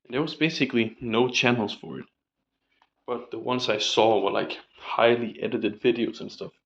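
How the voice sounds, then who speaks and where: A man's voice: mid-range voice, fairly even intonation, moderate pace, gravelly, highs cut off, a little hiss or hum. A young man rambling casually in a diary vlog, full of fillers and casual swearing, his voice still recovering from a sore throat. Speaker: vlogger.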